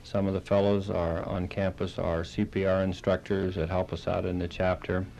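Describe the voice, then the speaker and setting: A man, a halting and plain-spoken interview guest, explaining things on the radio. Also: deep voice, flat delivery, vocal fry, a little mellow, a little hiss or hum. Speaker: interview guest, Red Cross chapter director.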